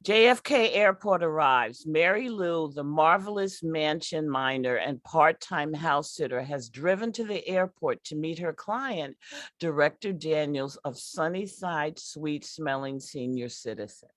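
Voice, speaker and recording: A woman's voice: low-pitched, animated, unhurried, fairly bright tone, dry recording, studio quality. A woman narrating a scripted radio play.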